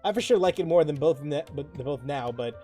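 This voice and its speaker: A man giving casual live commentary as a gaming streamer, musing informally in a halting way. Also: fairly high pitch, expressive, dark tone, faint music behind.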